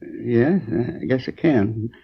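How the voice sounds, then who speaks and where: A gravelly, groggy old American storekeeper. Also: male voice, medium pitch, expressive, exaggerated voice. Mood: groggy, hazy. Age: 60s. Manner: halting, rambling, folksy. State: groggy, in pain. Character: injured, drowsy elderly male storekeeper, rambling and earnest. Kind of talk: 1950s radio crime drama acting. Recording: dull old 1950s radio recording.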